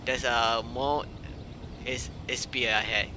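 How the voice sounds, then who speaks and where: A male game streamer speaking halting non-native English in casual live commentary over a windy, uncovered microphone. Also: mid-range voice, fairly even intonation. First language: not English. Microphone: microphone without its cover.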